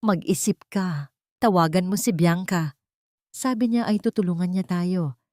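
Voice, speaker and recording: A woman's voice: fairly low pitch, measured pace, a little mellow, no room sound, clean studio sound, natural voice. A woman voicing a proud, distraught older wealthy mother in an audiobook drama, haughty and pleading. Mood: angry, disbelieving.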